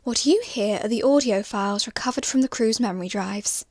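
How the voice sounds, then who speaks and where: A woman playing a spaceship's systems voice in an audio drama, measured and formal. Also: fairly high pitch, a little mellow, dry recording, clean studio sound, close to an everyday voice. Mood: detached. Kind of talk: scripted audio drama narration.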